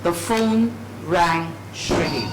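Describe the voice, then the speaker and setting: Slow-reading woman, distant and echoey. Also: fairly low pitch, lively intonation. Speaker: reader at a dramatised book reading. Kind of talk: dramatic literary narration, read aloud. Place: indoor event room at a live reading. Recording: echoey noisy room from far off.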